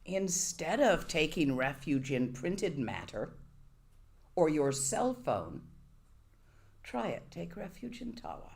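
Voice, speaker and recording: A woman's voice: deep voice, very animated, takes it slowly, vocal fry, a little mellow. A woman giving a Buddhist dharma talk to a live audience, conversational and wry, often asking her listeners questions. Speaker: Buddhist teacher.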